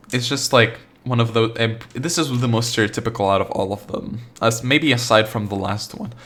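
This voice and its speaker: A man's voice: lowish voice, fairly bright tone. A young man from Argentina hosting a solo podcast, talking in a loose, rambling way full of restarts and filler words. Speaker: podcast host. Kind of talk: casual solo podcast monologue.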